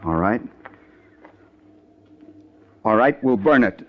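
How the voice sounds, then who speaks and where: A man's voice: on the low side, lively intonation, slow, over music. A man playing an earnest, homespun American frontier settler in a 1950s radio western. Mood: worried. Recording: muffled old radio broadcast.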